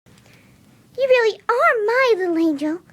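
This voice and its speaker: A woman plays a kind, timid cartoon pony mare in a fan-fiction reading. The voice is high, tender and hesitant, speaking softly with gentle care. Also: lively intonation, takes it slowly, full character voice. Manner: soft-spoken, hesitant, tender.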